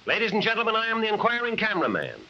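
An actor playing a brisk, insistent newsreel cameraman, narrating in a declamatory, theatrical 1930s style on an old film soundtrack. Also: high-pitched, huge pitch swings, sharp tone, dull audio, full character voice. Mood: brisk, earnest.

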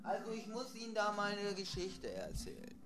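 A man's voice: sits high, wildly swooping pitch, normal speed. A man chatting casually and playfully as he hosts a let's play. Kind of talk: casual joking chat while gaming. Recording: music playing over the voice.

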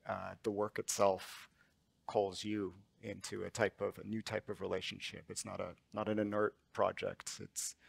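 A man in his fifties, an author on a stage panel, speaking haltingly with many restarts as he searches for words. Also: fairly low pitch, creaky, dry recording. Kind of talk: reflective panel talk. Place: large auditorium stage.

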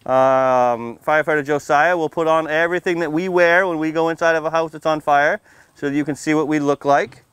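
A man in his thirties with a smooth, fairly high voice speaks to small children at an outdoor storytime. He is friendly and plain-spoken, like a visiting firefighter educator, and often turns to the children with questions. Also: steady tone, a little fast, fairly bright tone, no echo. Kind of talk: read-aloud storytime and casual talk to young children. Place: outdoors under a canopy on a library lawn.

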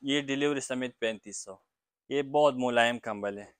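A young man selling blankets in his small shop, eagerly pitching prices and agreeing with quick repeated yeses. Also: clean tone, no room sound. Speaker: blanket shopkeeper. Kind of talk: sales pitch to a vlogger.

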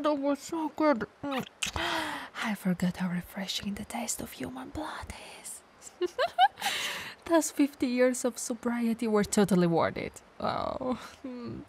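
A woman playing a teasing, dominant but caring vampire in an ASMR audio roleplay, breathy and gravelly, often dropping to whispers, coaxing and playfully condescending. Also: fairly high pitch, noisy background, full character voice. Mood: savoring, satisfied.

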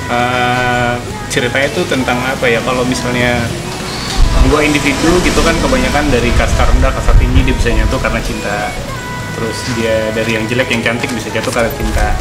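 A man chats casually as an interviewed musician, explaining in slang and repeating his words as he goes. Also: medium pitch. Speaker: band member and songwriter being interviewed. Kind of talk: casual chat. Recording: roomy echo, loud background music.

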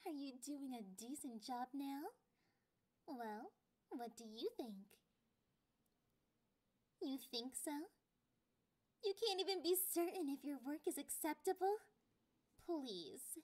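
A woman voicing a clingy, possessive catgirl girlfriend in an ASMR roleplay, with a playful, condescending and drawn-out delivery. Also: high voice, expressive, a little mellow. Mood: commanding, smug.

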